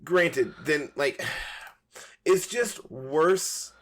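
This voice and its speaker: A man's voice: fairly high pitch, drawn-out words. A man co-hosting a casual podcast, chatty and loose with lots of fillers, his voice starting to wear out. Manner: chatty, relaxed, many fillers. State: voice going hoarse. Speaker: podcast co-host. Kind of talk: casual podcast chat and sign-off.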